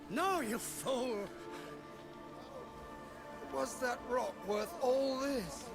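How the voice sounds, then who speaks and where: An actor playing a frightened male villager in a video game, pleading amid a spreading fire, over loud music. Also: very high voice, extremely slow, full character voice. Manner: pleading, panicked.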